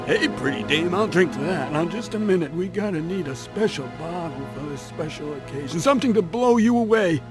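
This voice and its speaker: An actor playing a drunken, boisterous ex-military space pilot in a video game, leering and rambling with slurred, theatrical exclamations. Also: male voice, a little high, lively intonation, fairly quick, hardly any pauses, close to an everyday voice. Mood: boozy, jovial. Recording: music behind the voice.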